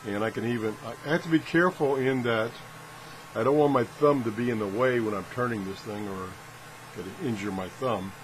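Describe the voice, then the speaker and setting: A man's voice: mid-range voice, a little fast, poor audio. Man thinking aloud over background noise. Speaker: host of a radio product test video. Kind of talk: casual unscripted product demonstration.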